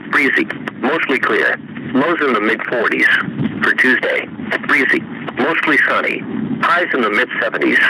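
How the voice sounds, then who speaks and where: A synthetic male text-to-speech voice reading a scripted weather bulletin over the radio in a measured, impersonal, formulaic way. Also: medium pitch, pushed and bright. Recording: noisy weather radio broadcast reception.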